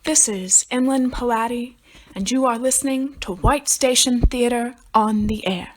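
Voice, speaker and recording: A woman's voice: a little high, a little slow, a little hiss or hum, rough recording. A woman reading a scripted introduction as a program announcer.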